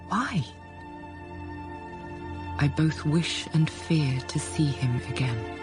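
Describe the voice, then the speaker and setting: An actress playing an introspective young governess narrating her own story, hushed, intimate and deliberate, in a radio drama. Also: low voice, lively intonation, loud music behind the voice. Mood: anxious, brooding. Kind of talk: dramatised first-person narration.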